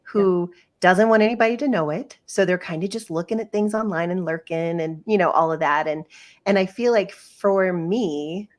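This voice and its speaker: A woman hosting a faith podcast, her voice sitting a little low for a woman. She talks in an earnest, gushing way and leans on casual fillers as she chats with her guest. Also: moderate pace. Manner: earnest, effusive, lots of fillers. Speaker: podcast host. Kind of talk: casual interview chat.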